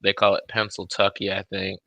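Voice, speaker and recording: A man's voice: low voice, monotone, a little fast, gravelly, pushed and bright, no room sound. An American man co-hosting a show, chatting casually in a blunt, profane way. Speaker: co-host. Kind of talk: casual chat.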